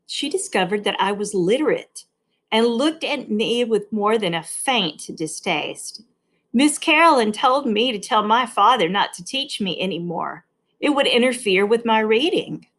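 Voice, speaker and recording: A woman narrating a read-along novel with expressive, deliberate phrasing and an informal, explanatory touch. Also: lowish voice. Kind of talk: reading a novel aloud with informal explanatory asides.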